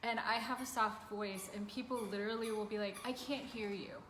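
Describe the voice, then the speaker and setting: A woman talking casually about herself as a coaching client, halting and peppering her sentences with "like". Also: medium pitch, steady tone, fairly bright tone. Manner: halting, conversational, heavy use of "like". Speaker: coaching client working in real estate. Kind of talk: casual personal chat. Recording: echoey room with background noise.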